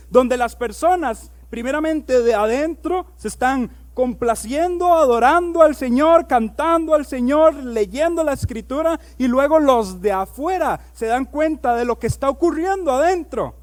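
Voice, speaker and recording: A male pastor preaching a sermon. He reads scripture aloud, slows down on key words, and builds to loud, emphatic preaching. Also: very high voice, expressive.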